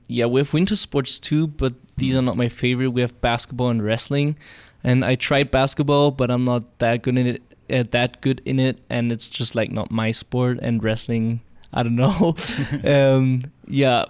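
Even-toned young man with a German accent. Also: medium pitch, normal speed, clean tone, no room sound. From Cologne, Germany. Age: teens. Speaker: interview guest, exchange student. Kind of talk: casual interview answers.

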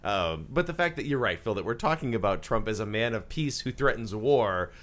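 A man in a political discussion, speaking in a measured way, repeating words as he thinks. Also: on the low side, expressive, a bit bright. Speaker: panelist.

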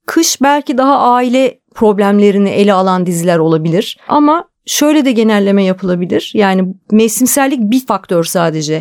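A woman's voice: on the high side, darkish tone. A woman speaking as an interview guest, explaining her thoughts in a conversational, reflective style. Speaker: interview guest, television drama producer.

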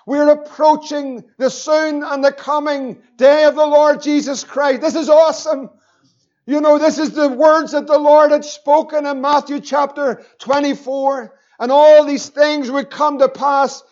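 High-voiced Northern Irish pastor preaching fervently. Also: male voice, little pitch movement, darkish tone, muffled recording. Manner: fervent preaching, repeating phrases, slowing for emphasis. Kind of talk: sermon.

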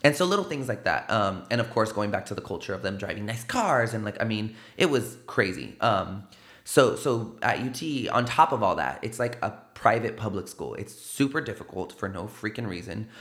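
Man, South Texas accent, slightly low pitch, casual animated storytelling, studio interview guest. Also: moderate pace, roomy sound. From South Side of San Antonio, Texas. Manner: animated, peppers stories with "right?" and "like". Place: podcast studio.

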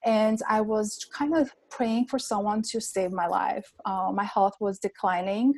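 A woman's voice: medium pitch, fairly even intonation, a little slow, darkish tone. A woman in her thirties from Armenia, telling her story earnestly as a podcast guest with many ums and likes. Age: 30s. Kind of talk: casual interview talk.

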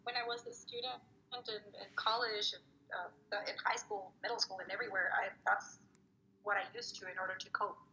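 Bright-voiced woman on a muffled call. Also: medium pitch, steady tone, a little fast, slight background noise. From Mexico. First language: Spanish. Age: 30s. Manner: reflective, with many fillers and restarts. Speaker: poet and student advisor. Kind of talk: remote interview answers.

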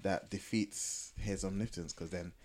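A man's voice: low voice, steady tone, normal speed, a little mellow, slight background noise. A man hosting a podcast, talking through ideas in a casual, explanatory way. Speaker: podcast host. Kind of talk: casual discussion.

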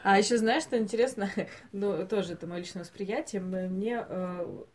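A woman co-hosting a podcast, talking casually about animated films in a hesitant, thinking-aloud way, given to chuckling. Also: mid-range voice, normal speed. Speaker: podcast co-host.

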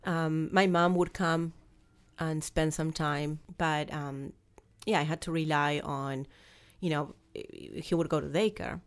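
Argentine woman, forties, deep for a woman, halting, restarts often, guest on a podcast. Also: fairly even intonation. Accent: Argentine Spanish. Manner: halting, with many restarts and fillers, laughs between thoughts. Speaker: guest, business founder. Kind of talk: conversational interview.

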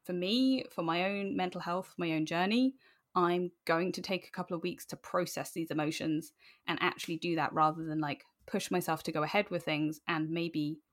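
A woman's voice: fairly low pitch, steady tone, normal speed. A woman speaking candidly and informally as an interview guest, animatedly telling personal stories. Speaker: podcast guest.

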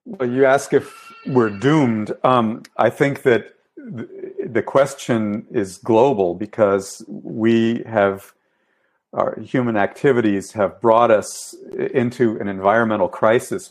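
Male professor guest, middle-aged, low voice, measured and deliberate delivery. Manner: measured, deliberate with some stammering. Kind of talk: interview answers.

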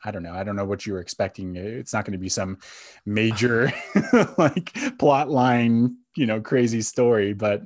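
A man's voice: on the low side, moderate pace, no room sound, clean studio sound. A man hosting a podcast in casual chat about entertainment, speaking in a hesitant, think-aloud way. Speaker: podcast host.

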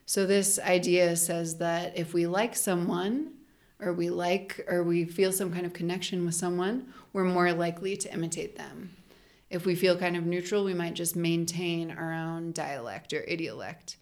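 A woman's voice: lowish voice, fairly even intonation, normal speed, a little mellow. A young adult woman, a linguist guest on a podcast, talking casually and explaining ideas with lots of "like" fillers. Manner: chatty, frequent "like" fillers, laughs while explaining.

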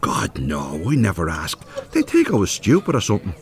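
A man's voice: low voice, huge pitch swings, a little fast, heavily acted. An actor playing a plain-spoken, good-hearted older retired binman with a north Dublin accent in a radio drama. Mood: sheepish, chatty. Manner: earnest, folksy, bantering. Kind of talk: naturalistic radio drama acting.

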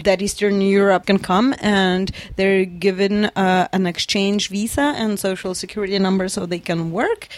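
A woman's voice: mid-range voice, no room sound. A woman from Lithuania with a Lithuanian accent, talking casually and reflectively as an interview guest. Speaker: interview guest, documentary filmmaker. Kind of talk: casual conversational storytelling.

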